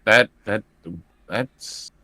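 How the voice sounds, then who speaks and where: A man's voice: very slow, sharp tone, no echo. A man playing a tabletop roleplaying game, speaking conversationally and hesitantly, often repeating words. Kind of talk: improvised in-character tabletop play, conversational.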